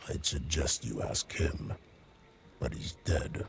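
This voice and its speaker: A grim undead assassin from a fantasy game. His voice is extremely deep and full of gravel. He speaks slowly in short, menacing phrases that sometimes turn breathy. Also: slight background noise, heavily acted. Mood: grim, bitter. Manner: slow, terse, menacing. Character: undead male assassin, grim, vengeful, terse killer. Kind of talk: cinematic game acting.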